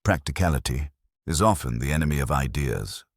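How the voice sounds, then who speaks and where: A man narrating plays a courteous police inspector in a detective story. He is very deep and husky, asking his questions with measured tact and dry, understated politeness. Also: animated, no echo, exaggerated voice. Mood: dryly polite. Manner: measured, tactful, dryly polite.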